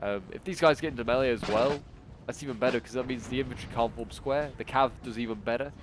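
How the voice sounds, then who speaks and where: A man with a cold, his voice stuffy and bunged up, gives loose, rambling commentary on a strategy game battle. His pitch sits in an ordinary middle range. Clear gunfire and artillery from the game sound behind him. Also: fast, noisy background. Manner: chatty, thinking aloud with frequent fillers. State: congested with a cold. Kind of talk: casual gameplay commentary. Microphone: new desktop microphone.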